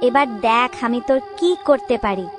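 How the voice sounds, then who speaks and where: A woman's voice: on the high side, normal speed, soft background music, lightly acted. A woman voicing the jealous, menacing ghost of a little girl in a cartoon ghost story, scolding and threatening. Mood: menacing, bitter.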